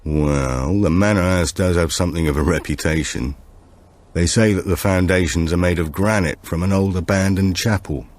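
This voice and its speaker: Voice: low-pitched, moderate pace, a little mellow, lightly acted. A man voicing an old retired seaman recalling local lore in an audiobook thriller. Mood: reminiscing.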